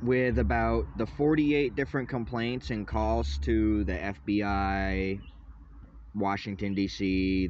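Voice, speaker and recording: Young man, agitated rambling rant, lowish voice, dull lo-fi phone sound with faint hiss. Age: young adult. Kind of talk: ranting selfie vlog. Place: inside a parked vehicle. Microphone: phone at arm's length.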